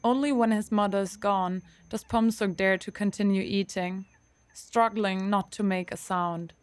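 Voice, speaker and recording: A woman's voice: medium pitch, steady tone, measured pace, clear, resonant tone. A woman narrating an audio drama from a script as the storyteller.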